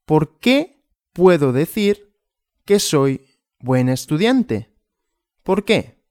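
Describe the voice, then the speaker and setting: Male language teacher, very slow and deliberate, lively up-and-down intonation, dry close recording. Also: fairly high pitch, dry recording. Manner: very slow, deliberate, repeating and asking himself questions. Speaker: Spanish teacher. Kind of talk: slow graded language lesson with questions and answers.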